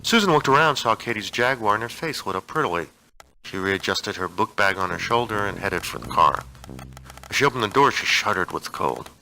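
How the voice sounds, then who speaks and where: A man's voice: lowish voice, animated, brisk, creaky, bright, forceful tone, a little hiss or hum, rough recording. A man reading a piece of fiction aloud as a narrator, in a scripted audiobook style.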